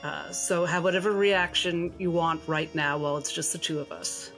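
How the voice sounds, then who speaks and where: A shrewd lady doctor, acted by a woman in a tabletop game, speaks in a measured, arch way. She is genteel but commanding, with music behind her voice. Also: low-pitched, steady tone, fairly bright tone. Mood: confiding. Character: shrewd, genteel female doctor with secret talents.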